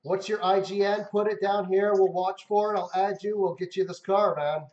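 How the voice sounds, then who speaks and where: A man's voice: high-pitched, steady tone, moderate pace, a little mellow. A man hosting a casual livestream, talking upbeat to his viewers. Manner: upbeat, talks to viewers, gives away cars. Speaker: livestream host. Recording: roomy, dull lo-fi sound.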